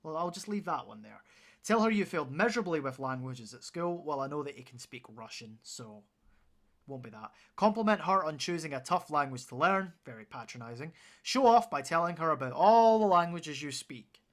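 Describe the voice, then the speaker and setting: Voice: medium pitch, animated, brisk, fairly bright tone. A streamer giving sarcastic, sweary reactions while playing a video game.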